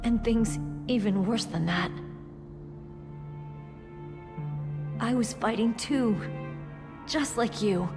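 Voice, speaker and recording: A woman playing a wounded young soldier in a video game, tough yet tender, speaking haltingly and emotionally. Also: fairly high pitch. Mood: bruised defiance. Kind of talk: dramatic video game cutscene acting. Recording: music behind the voice.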